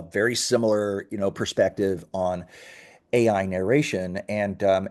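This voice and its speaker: A man's voice: on the low side, darkish tone. A man speaking as a company founder in an interview, explaining conversationally with emphatic, self-correcting phrasing.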